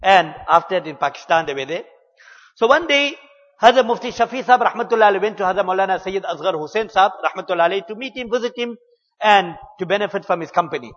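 A man delivers a measured, earnest religious talk in a high voice. His words ring out with a long hall echo. The sound is dull and muffled. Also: animated, fairly bright tone. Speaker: Islamic preacher.

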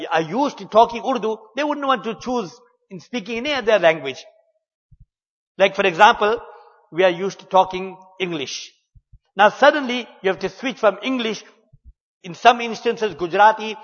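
A man giving an earnest, measured religious talk, mixing in Arabic and Urdu religious terms. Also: sits high, long reverb tail, highs cut off. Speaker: Islamic preacher.

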